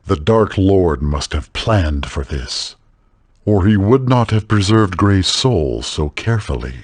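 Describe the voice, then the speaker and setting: A man playing a solemn, formal, knowing otherworldly guide in a video game, with a husky, measured, grave delivery. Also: deep voice, expressive, no echo, heavily acted.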